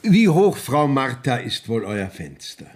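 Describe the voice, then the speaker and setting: An actor playing a courteous, probing court inspector in a radio play, speaking measured, formal verse with a husky voice. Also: male voice, mid-range voice, sing-song. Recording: 1970s radio drama studio recording.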